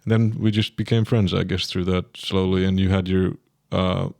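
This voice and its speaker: A man's voice: low-pitched, little pitch movement, moderate pace, gravelly, darkish tone, no room sound, studio quality. A man from Finland, chatting casually as a podcast guest and telling long, rambling stories with restarts and laughs. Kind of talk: casual chat. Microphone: studio podcast microphone.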